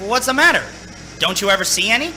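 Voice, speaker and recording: A high-pitched, haunted radio-play driver. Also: male voice, very animated, fast, sharp tone, exaggerated voice, rough recording. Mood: uneasy. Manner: dramatic, wordy, nervous stammering. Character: haunted, anxious young man driving cross-country. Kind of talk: radio play acting, narrating and in scenes. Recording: stage radio play with music.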